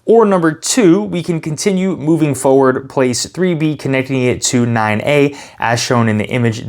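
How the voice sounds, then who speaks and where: A man hosting a tabletop game tutorial in a casual style, talking viewers through each step and reading out story passages. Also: medium pitch. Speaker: host teaching a board game.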